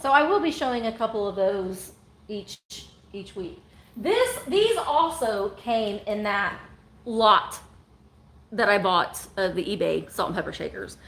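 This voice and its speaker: Lively, bright-voiced woman in her forties. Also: mid-range voice, unhurried. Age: 40s. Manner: chatty, joking, animated. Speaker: online vintage seller. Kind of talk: live sale chat.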